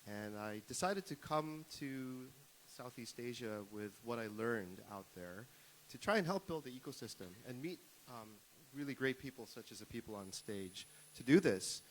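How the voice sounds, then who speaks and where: A man's voice: mid-range voice, lively intonation, normal speed, few pauses, low-quality recording. A man working in investment, giving an informal self-introduction as a panelist on stage. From United States. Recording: live stage microphone with faint hiss.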